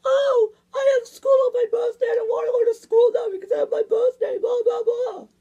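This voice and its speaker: A very high, squeaky teenage cartoon girl, bossy and easily worked up. The pitch swoops around as she scolds and fusses in an improvised play vlog. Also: animated, moderate pace, mellow, muffled recording. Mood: mocking whine.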